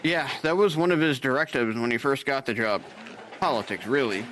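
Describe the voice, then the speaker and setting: A man's voice: medium pitch, brisk, a bit bright, slight background noise, natural voice. An actor playing a dry, sarcastic, burned-out male nurse showing a new hire around, in an audio drama. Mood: sardonic.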